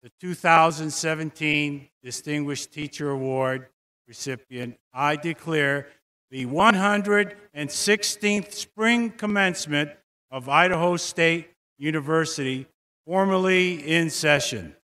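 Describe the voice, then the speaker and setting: A man formally opening a university commencement in a large indoor arena, speaking in a measured, solemn way. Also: on the high side, bright, forceful tone, smooth. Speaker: ceremony official. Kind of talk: formal ceremonial declaration.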